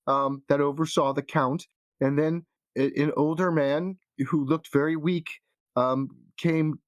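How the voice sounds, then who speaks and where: A man voicing a flustered, regretful woman in a tabletop game, halting and earnest as a wife pleading her case. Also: on the high side, no echo, close to an everyday voice. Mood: earnest, uneasy. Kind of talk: tabletop roleplay acting.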